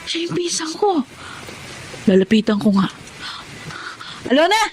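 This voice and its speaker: An actress playing a friendly, concerned older cousin in a radio drama, chatty and exclaiming. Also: female voice, high-pitched, big pitch swings, unhurried, faint music behind. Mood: musing.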